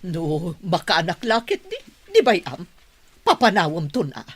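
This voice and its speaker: A woman's voice: lowish voice, wildly swooping pitch, measured pace, breathy edge, heavily acted. A woman playing a stern, haughty older mother with a commanding manner in a radio drama. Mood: scheming, consoling. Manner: scolding, commanding.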